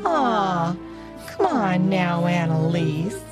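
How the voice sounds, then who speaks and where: A woman's voice: medium pitch, big pitch swings, measured pace, music in the background, pitched above the performer's own voice. A woman voicing a jolly, grinning male pumpkin trickster who talks in a coaxing, persuasive way. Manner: sing-song, coaxing.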